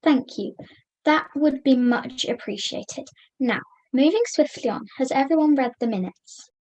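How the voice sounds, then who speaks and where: A woman's voice: high-pitched, measured pace, a little mellow, poor audio. A young woman acting a polite, flustered puppet who chairs a meeting in an amateur puppet show. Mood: orderly.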